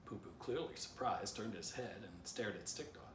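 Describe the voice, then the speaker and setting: A man with a fairly low voice reads a children's story aloud, chatting playfully to the listener. The recording is echoey and a bit distant from the mic, with faint noise. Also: moderate pace. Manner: playful direct address to the listener. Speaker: author reading aloud.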